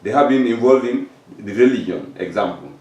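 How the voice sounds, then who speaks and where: A man's voice: mid-range voice, a little fast, darkish tone, far from the mic, slight background noise. An older man with a West African English accent, talking earnestly in a casual group discussion and stumbling over repeated fillers. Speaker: discussion participant. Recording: echoey room, speaking from off the mic.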